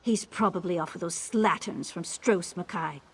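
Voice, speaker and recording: A woman's voice: fairly low pitch, normal speed, husky. A woman playing a bitter, jealous woman in a fantasy video game, grumbling resentfully with muttered threats. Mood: jealous irritation. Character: bitter, jealous woman fuming over straying man.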